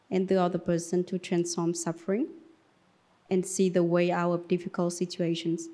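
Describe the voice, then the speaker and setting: Woman in her 30s, lowish voice, pretty even tone, speaking at a buddhist gathering. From Vietnam, later France. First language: Vietnamese. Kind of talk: reading aloud and personal sharing.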